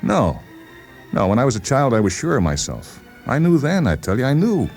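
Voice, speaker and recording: A man voicing a defensive, suspicious psychiatric patient with an insistent, halting delivery in a radio story reading. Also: mid-range voice, wildly swooping pitch, darkish tone, voice pushed up. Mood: agitated, insistent. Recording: voice over background music.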